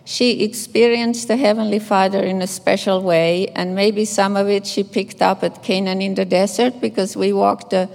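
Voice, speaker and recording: An older woman from Finland with a Finnish accent, a nun reading scripture and giving a gentle, measured memorial tribute. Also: mid-range voice, steady tone, vocal fry. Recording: echoey hall reverb.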